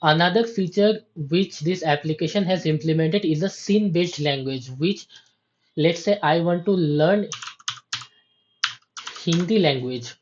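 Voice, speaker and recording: A woman demonstrating her software in a slow, explanatory way, often restarting her sentences. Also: fairly low pitch, lo-fi sound, slight background noise. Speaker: app developer giving a demo. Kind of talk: screen-recorded software walkthrough.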